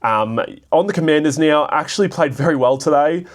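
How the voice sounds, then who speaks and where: A young Australian man with a raspy voice from a cold, casually talking through card sale prices with lots of fillers. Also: on the high side, brisk. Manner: rambling, filler-heavy, thinking aloud. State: hoarse from a cold. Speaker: card shop vlogger. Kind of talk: casual solo commentary.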